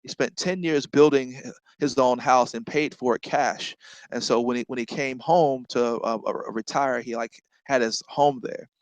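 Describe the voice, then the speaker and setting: A man's voice: mid-range voice, fairly even intonation, moderate pace, no room sound, low-quality recording. A middle-aged man from North Carolina with a Southern accent, guesting in a casual interview. He talks earnestly, repeats words and often tags "right?" onto his points.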